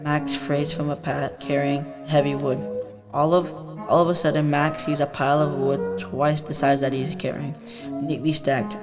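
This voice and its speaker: A man's voice: fairly high pitch, flat delivery, moderate pace. A boy in his early teens reading a scripted story aloud as a student, over music. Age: young teenager.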